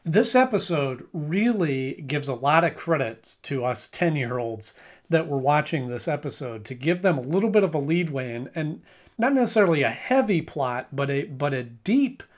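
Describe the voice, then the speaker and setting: A man's voice: on the high side, very animated, moderate pace. A man talking casually about a cartoon episode for his channel, with a slightly raised voice. He rambles, circles back, and corrects himself mid-sentence. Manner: rambling, self-correcting, frequent restarts and filler. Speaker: episode reviewer. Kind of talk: casual video review commentary.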